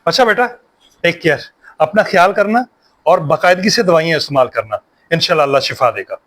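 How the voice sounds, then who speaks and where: A man's voice: a little high, lively intonation, fairly quick, a bit bright. A man playing an earnest, reassuring, pious family doctor who mixes in English words, in a moral short drama. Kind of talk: Urdu moral short-film acting.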